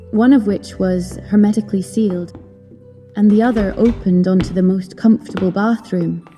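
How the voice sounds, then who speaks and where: Actress as wistful young opera singer telling her tale, really soft dark tone, big reverb, music under it. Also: mid-range voice, natural voice. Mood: subdued unease. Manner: literary, measured, earnest. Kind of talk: dramatic first-person storytelling in an audio drama. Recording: long hall reverb, music behind.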